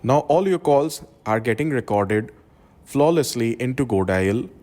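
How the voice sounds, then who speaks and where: A man's voice: medium pitch, lively intonation, unhurried, dark tone. Man narrating a step-by-step tutorial. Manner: step-by-step explaining. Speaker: tutorial presenter. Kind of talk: instructional software tutorial narration.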